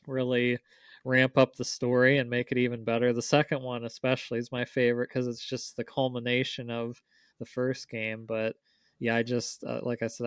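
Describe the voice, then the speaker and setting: A man's voice: mid-range voice, clear, resonant tone, dry recording. A man chatting casually as a podcast co-host, enthusiastic and rambling about his favorites.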